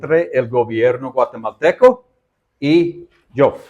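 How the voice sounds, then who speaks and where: Male interpreter quickly echoing a sermon. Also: mid-range voice, a little mellow, dull audio. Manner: quick renderings with restarts and self-corrections.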